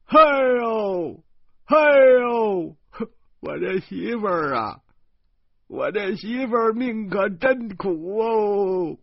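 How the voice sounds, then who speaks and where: A man voicing a weepy, aggrieved younger brother who wails in a high, sobbing voice. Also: animated, slow pace, creaky, dry recording, highs cut off, full character voice. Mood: wailing. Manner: wailing, high-pitched, sobbing.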